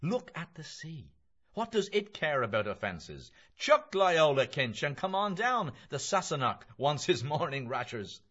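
A man's voice: on the high side, sing-song, a little slow, a bit bright, breathy edge, lo-fi sound, voice pushed up, full character voice. A man voicing a brash, mocking young male medical student in an audiobook, theatrical, declamatory and boisterous. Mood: breezy, teasing. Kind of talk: literary audiobook character voice.

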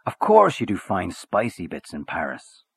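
Brief: hearty, swaggering worldly braggart, read by a man, husky, lively pitch. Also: moderate pace, no room sound, clean studio sound, heavily acted. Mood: boastful. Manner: expansive, swaggering, back-slapping.

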